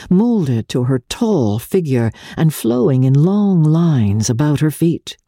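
Female audiobook reader, mellow husky tone, lively intonation, measured dramatic delivery, literary narration. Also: low-pitched, dry recording, studio quality. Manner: measured, expressive storyteller phrasing. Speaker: audiobook reader, show host. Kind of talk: dramatic reading of classic literary fiction.

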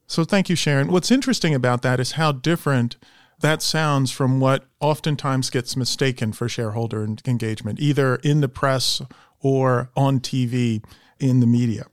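A man's voice: mid-range voice, normal speed, no room sound, studio quality. A man hosting a podcast interview in a polished, professional way, introducing topics and putting questions to a guest. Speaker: podcast host and investment strategist.

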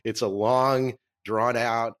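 A man in his forties, born in Russia with Russian as his first language, answering interview questions as an author in a relaxed, joking way. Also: mid-range voice, slow pace, no room sound. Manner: relaxed, joking, laughs at own quips. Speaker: author and marketing agency owner.

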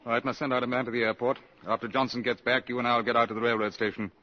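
Man playing brisk british security man, suspicious, quick talker, dull old radio play. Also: mid-range voice, little pitch movement, natural voice. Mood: urgent. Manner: brisk, authoritative. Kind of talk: 1950s radio drama acting. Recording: dull old radio recording, faint noise.

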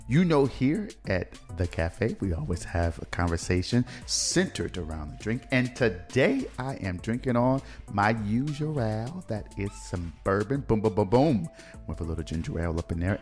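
Playful low-voiced male podcast host. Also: darkish tone. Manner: playful, teasing, drawn-out phrasing. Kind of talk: casual chat. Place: home bar.

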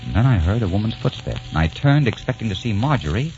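Un actor que interpreta a un joven estadounidense soñador y serio, narrando en un radioteatro de los años cuarenta, en una grabación antigua y apagada con música. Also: male voice, lowish voice, sing-song, fast, darkish tone. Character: young American man, dreamy and earnest. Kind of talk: 1940s radio drama acting. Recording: dull old radio transcription with music.

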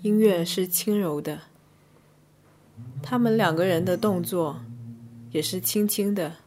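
A woman narrating a scripted first-person arts review in measured, descriptive short phrases. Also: on the low side, a little mellow. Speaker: podcast host and reviewer.

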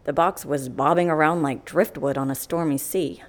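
Actress as a wry, low-voiced female colleague. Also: steady tone, normal speed, close to an everyday voice. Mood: teasing, relishing. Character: wry, matter-of-fact female colleague relishing grisly details. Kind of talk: audio comedy drama dialogue.

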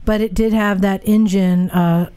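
A woman's voice: mid-range voice, fairly even intonation, measured pace, dark tone, smooth. Chatty woman interjecting in a podcast. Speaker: podcast co-host. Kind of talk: casual chat.

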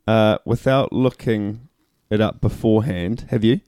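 Podcast co-host, male, New Zealand accent, lowish voice, dry close sound, deadpan teasing. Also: measured pace, clear, resonant tone, no room sound. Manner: dry, teasing, short replies. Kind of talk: casual rugby podcast chat.

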